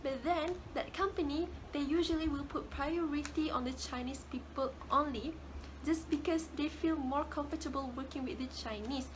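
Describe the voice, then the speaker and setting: A woman's voice: high voice, moderate pace, slight background noise, rough recording. A young woman with a Malaysian English accent giving a casual class presentation, often repeating words as she searches for phrasing. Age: young adult. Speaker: student presenter.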